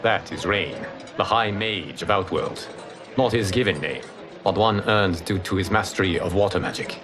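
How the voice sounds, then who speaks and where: A man playing a calm, wise, solemn mentor in a video game, explaining things in measured, formal speech. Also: low voice, over music, lightly acted. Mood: calm, assured. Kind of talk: dramatic video game acting.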